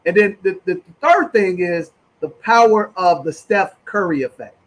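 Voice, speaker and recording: A man's voice: sits high, lively intonation, a little slow. A man hosting a conversational interview in an effusive way, peppering his talk with "man" and "brother". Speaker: interview host.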